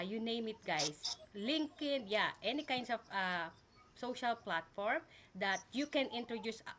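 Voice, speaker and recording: A woman's voice: a little high, a bit bright, a little hiss or hum. A woman from the Philippines with a Filipino accent, hosting a casual group live stream and talking with many fillers. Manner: hesitant with many fillers, laughs often.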